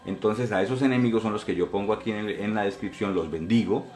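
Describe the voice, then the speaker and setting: A man's voice: on the low side, quick pace, darkish tone, reverberant. Earnest Colombian pastor in his forties. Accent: Colombian Spanish. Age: 40s. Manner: earnest, repetitive, addressing listeners directly. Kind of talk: sermon testimony.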